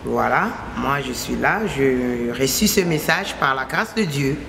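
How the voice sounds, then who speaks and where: A woman's voice: extremely low pitch, a little slow, vocal fry, noisy background. A woman from Kinshasa with a Congolese French accent, whose first language is Lingala, giving an emphatic religious testimony and restating her phrases in repetitive lists. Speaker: testifying believer.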